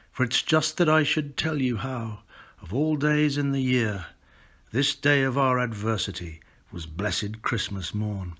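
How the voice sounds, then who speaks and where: Older man, British accent, mid-pitched voice, expressive literary recitation. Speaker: author and poetry reader. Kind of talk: expressive poetry recitation with spoken introduction.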